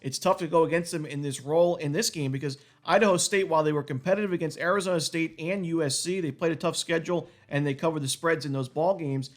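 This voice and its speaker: A man with a cold, his voice going a little, giving a quick solo rundown of sports betting picks packed with stats. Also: fairly high pitch.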